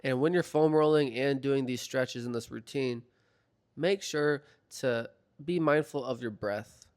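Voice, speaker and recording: A young man talking through a foam roller routine in a relaxed, chatty voiceover, his voice a little hoarse as he recovers from being sick. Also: medium pitch, measured pace. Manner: relaxed, chatty, filler-heavy. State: slightly hoarse from recovering from illness. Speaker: fitness vlogger. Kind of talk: casual instructional voiceover. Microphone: voiceover mic.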